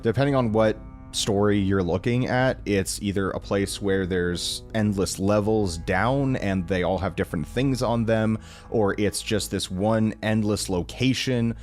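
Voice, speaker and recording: Young man, low voice, casual rambling reviewer, enthusiastic, informal, music in the background. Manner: informal, enthusiastic, self-deprecating, mild swearing. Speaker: reaction video creator.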